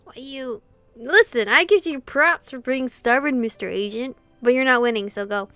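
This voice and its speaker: A woman's voice: sits high, normal speed, clean tone, no room sound, soft background music. A woman hosting a let's-play, reading visual novel dialogue aloud and reacting theatrically with plenty of swearing. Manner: expressive, foul-mouthed, theatrical reactions.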